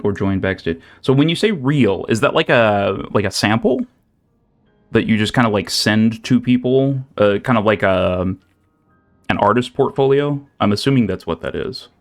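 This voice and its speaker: A young man streaming a game, chatting casually with lots of fillers and restarts. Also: medium pitch. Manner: rambling, many fillers and restarts. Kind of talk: casual chat while playing. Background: faint game music.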